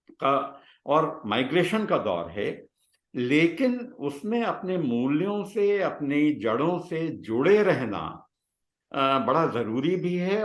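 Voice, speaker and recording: A man, telling personal stories reflectively in conversation, with halting pauses between phrases. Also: fairly high pitch, fairly bright tone. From Bihar, India. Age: 60s. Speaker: guest, retired scientist. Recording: roomy, echoing sound.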